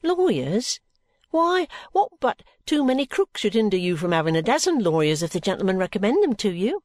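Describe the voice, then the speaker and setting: A hearty, sharp-tongued soldier's wife as a woman reader plays her in a storybook. She scolds with fond, emphatic indignation, her pitch swinging widely, in a dry, close recording. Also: big pitch swings, moderate pace, dry recording. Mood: indignant scolding. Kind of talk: storybook character dialogue.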